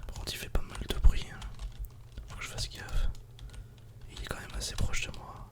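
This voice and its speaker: Male, whispered ASMR game commentary, casual informal delivery, close mic, keyboard typing behind. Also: moderate pace. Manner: soft-spoken, casual, informal. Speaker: video game streamer.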